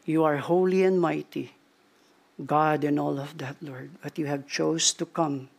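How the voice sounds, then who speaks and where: A man delivering the close of a church sermon and leading a prayer. His voice sits a little high and has a gravelly edge. He speaks earnestly, stacking repeated phrases for emphasis. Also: steady tone, measured pace. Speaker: pastor.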